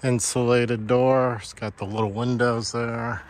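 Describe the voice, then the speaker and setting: Slow, matter-of-fact builder touring his site. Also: medium pitch, level tone. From Vermont. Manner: plain, matter-of-fact, unhurried. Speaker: builder giving a site tour. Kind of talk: casual walkthrough narration. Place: outdoors at a building site in woods. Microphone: handheld phone camera.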